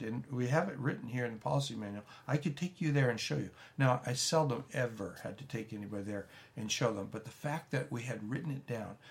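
A man, a pastor giving a relaxed, rambling teaching talk with frequent restarts and folksy asides. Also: medium pitch, creaky. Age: 70s. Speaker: pastor teaching a church leadership course.

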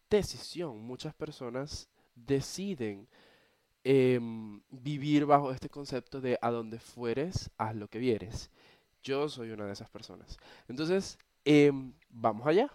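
A man's voice: mid-range voice, no room sound, clean studio sound. A young man from Venezuela with a Venezuelan accent, hosting his own podcast from his bedroom in a chatty, playful way. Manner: chatty, expressive, playful asides to listeners. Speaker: podcast host. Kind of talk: casual solo podcast monologue.

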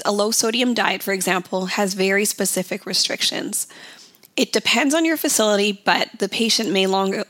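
A woman hosting an educational podcast as a dietitian, her voice raspy from a summer cold, speaking in an earnest, advocating way. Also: medium pitch, a bit bright.

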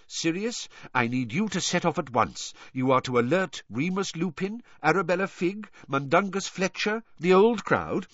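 A man voicing an elderly wizard headmaster in an audiobook, grave, courteous and commanding, speaking in a measured, formal way. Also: fairly high pitch, a bit bright, no room sound, dull audio, voice pushed up. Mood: urgent, grave. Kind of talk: audiobook character voice.

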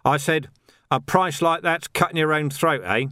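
A man's voice: a little high, moderate pace, pushed and bright, no room sound, voice pushed up. A man voicing a wry male city watch sergeant with a dry, mock-innocent manner, in an audiobook story. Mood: jovial.

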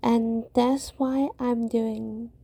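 A woman from Guangdong with a Cantonese accent hosts a podcast, reading a prepared script in a slow, measured way. Her voice is high and very dark and mellow, with a husky, breathy edge. It is recorded close and dry. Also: flat delivery, no room sound. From Guangdong, China. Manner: careful, unhurried reading with occasional hesitations. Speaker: podcast host.

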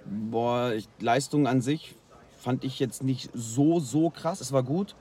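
A man from Germany, a guest freestyle rapper, telling stories in relaxed slangy casual chat, worn out after a long night. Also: mid-range voice, takes it slowly, husky. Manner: relaxed, slangy storytelling with fillers.

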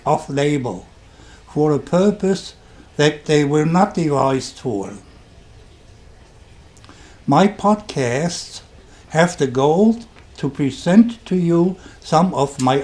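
Slow, dark-voiced German man. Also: on the high side. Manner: deliberate, wry, dryly sarcastic. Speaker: podcast host. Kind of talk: first-person storytelling podcast.

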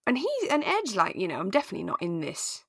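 A woman in relaxed podcast conversation, musing and thinking aloud as a co-host discussing a drama. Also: medium pitch, fast talker, gravelly, breathy edge, no echo, clean studio sound.

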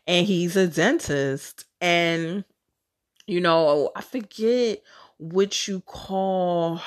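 A woman's voice: on the low side, a bit bright. A woman podcast host telling casual personal stories on her own, in a chatty, candid, slangy way with some casual swearing. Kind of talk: casual solo storytelling.

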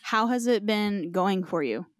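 A woman's voice: mid-range voice, lively intonation, unhurried, no room sound, studio quality. A woman hosting a podcast, talking in a casual interview style. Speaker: podcast host.

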